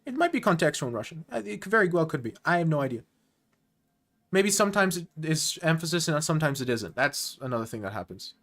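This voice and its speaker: A man's voice: a little high, big pitch swings, quick pace, fairly bright tone. A man streaming live and chatting casually with viewers, rambling and correcting himself mid-sentence with nerdy enthusiasm. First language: English.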